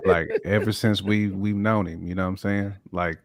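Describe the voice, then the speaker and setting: A man's voice: low-pitched, steady tone, moderate pace, no echo. A man co-hosting a podcast, chatting casually and enthusiastically about hip hop with plenty of slang. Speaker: podcast co-host.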